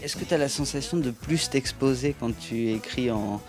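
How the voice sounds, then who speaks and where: A man's voice: mid-range voice, moderate pace, faint music behind. A man hosting a casual interview, asking long rambling questions and praising the album effusively. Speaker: interviewer and host.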